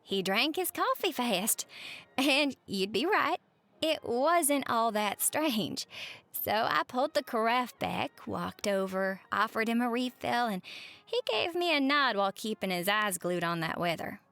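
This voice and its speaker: A woman playing a cheerful, chatty waitress on a diner night shift in a roleplay audio, with a Southern US accent and a folksy, expressive manner. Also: fairly high pitch, a little slow, vocal fry, dry recording, heavily acted. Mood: chatty, amused. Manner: folksy, expressive, uses pet names. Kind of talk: roleplay monologue to the listener, chatty storytelling.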